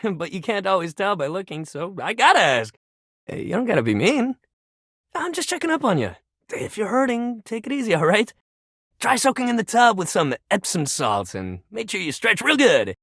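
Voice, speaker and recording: A man's voice: high-pitched, very animated, moderate pace, a bit bright, no room sound, studio quality, full character voice. A man voicing an upbeat, supportive fitness coach in a video game, talking in encouraging, casual bro slang. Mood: caring, encouraging. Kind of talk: video game character voice lines.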